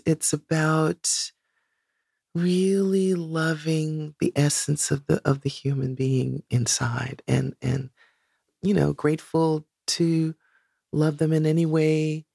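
A woman's voice: deep voice, steady tone, slow pace, vocal fry, mellow, slightly rough, dry recording, studio quality. A woman in her sixties, a guest in an interview, talking reflectively and searching for words with many "you know"s. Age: 60s. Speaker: guest, singer.

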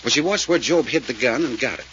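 An actor playing a commanding detective who explains a case emphatically, in the style of a 1940s radio serial. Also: male voice, mid-range voice, animated, fast, fairly bright tone, lightly acted. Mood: intent, explaining. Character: brisk, commanding male detective explaining a murder. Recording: muffled, hissy old radio recording.

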